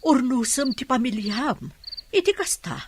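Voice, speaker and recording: Bossy older village auntie, voice swoops all over, ilocano accent, radio drama, noisy recording. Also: female voice, sits high, very animated, a little slow. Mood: scolding. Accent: Ilocano Filipino. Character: scolding older village woman, blunt and motherly.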